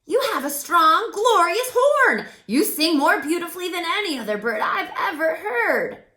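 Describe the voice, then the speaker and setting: A woman puts on a chatty little male crow for a children's storybook. The voice is raspy and high, swooping through admiring, upbeat phrases with stretched-out emphasis. The room is noticeably echoey. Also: big pitch swings, moderate pace, sharp tone, heavily acted.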